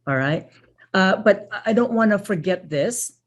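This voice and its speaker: A woman's voice: medium pitch, expressive, unhurried. A woman from the Philippines presenting a webinar over a video call, grateful and chatty, with many fillers and repeated thank-yous. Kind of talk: live webinar wrap-up.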